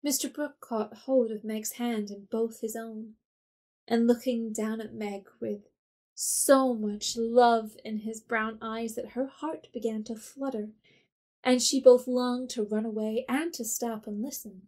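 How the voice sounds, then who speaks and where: A woman's voice: on the high side, steady tone, measured pace, a little mellow. A woman narrating a classic story in an audio drama. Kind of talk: scripted narration.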